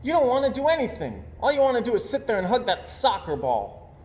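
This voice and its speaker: A man's voice: extremely high pitch, huge pitch swings, brisk, a little mellow, pitched above the performer's own voice, exaggerated voice. A man voicing a bossy, impatient young girl bear cub in a storybook, exclaiming and pushy. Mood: irritated. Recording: roomy echo, faint background noise.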